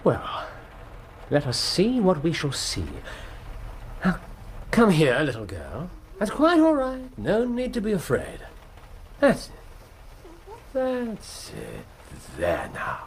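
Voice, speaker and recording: An actor playing a cool, commanding Victorian gentleman detective, precise and theatrical, in a period radio drama. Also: high voice, huge pitch swings, slow pace, a little hiss or hum, heavily acted. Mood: cool, observant, then gentle coaxing.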